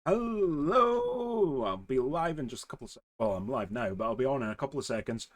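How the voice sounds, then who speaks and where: A man with a slightly hoarse voice, hosting a casual, rambling hobby livestream in an informal way. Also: mid-range voice, lively intonation, fairly quick. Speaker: live stream host.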